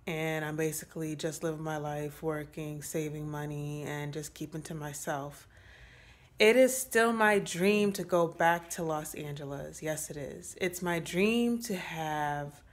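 A woman in her late twenties talking to her phone camera in a small room, giving a casual, rambling update with many pauses and fillers. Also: low voice. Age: 20s. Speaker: vlogger. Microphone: phone held close at arm's length.

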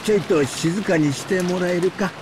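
An actor playing a genial, gentle middle-aged husband with a Kansai Japanese accent and a folksy, soothing manner. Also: male voice, sits high, fast talker, background noise, lightly acted. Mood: gently apologetic. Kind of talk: Japanese visual novel acting.

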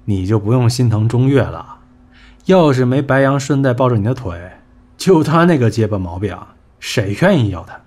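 A man's voice: mid-range voice, lively intonation, moderate pace, a little mellow, natural voice. An actor playing a cynical male talent manager in an audio drama, blunt, sarcastic and scornful. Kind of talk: Chinese audio drama acting.

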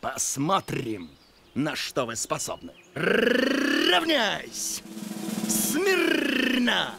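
An actor playing a pompous moustached drum commander in a children's cartoon, barking in a blustering military way. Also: male voice, high-pitched, wildly swooping pitch, very slow, gravelly, loud music behind the voice, heavily acted. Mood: stern, commanding.

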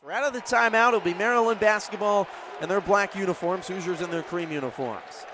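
A man in his twenties doing sports commentary and reporting in crisp, polished short phrases. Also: high-pitched, brisk, noisy background. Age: 20s. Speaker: play-by-play sports broadcaster and reporter.

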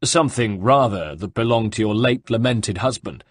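A man's voice: fairly low pitch, normal speed, slightly rough, no echo. A man voicing a cool, ironic Italian nobleman villain who bargains with menace in an audiobook. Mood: ironic politeness. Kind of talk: audiobook character dialogue.

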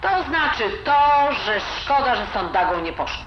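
A woman's voice: high voice, sing-song, moderate pace. A woman playing a blunt, disapproving old grandmother with a Silesian accent and grumbling, dialect-filled talk in an audio drama. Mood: scornful. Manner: grumbling, colloquial, full of dialect words. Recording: roomy, dull, noisy home recording.

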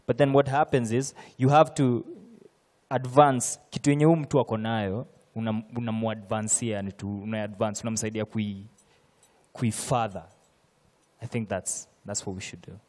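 A man's voice: on the low side, flat delivery, normal speed, darkish tone. A man with a Kenyan accent, a musician speaking casually on a panel into a handheld mic, slipping into Swahili phrases. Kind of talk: panel discussion. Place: conference stage.

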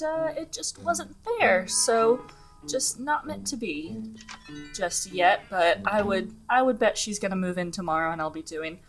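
A young woman hosting a relaxed gaming video, talking through her play in a chatty, giggly way with lots of fillers, her voice a little scratchy from the early morning. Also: mid-range voice. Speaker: gaming channel host. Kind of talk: casual let's-play commentary. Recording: game music underneath the voice.

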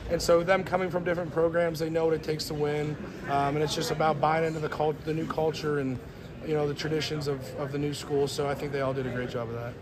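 Young college lineman, postgame scrum, earnest and halting, voice a touch high, busy room behind. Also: little pitch movement. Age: young adult. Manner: earnest, grateful, halting with fillers. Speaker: college football player. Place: crowded weight room indoors. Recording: crowd chatter behind.

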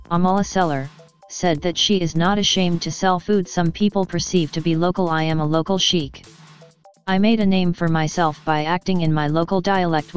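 A woman reading a celebrity news script in an even, flat manner. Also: lowish voice. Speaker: news narrator.

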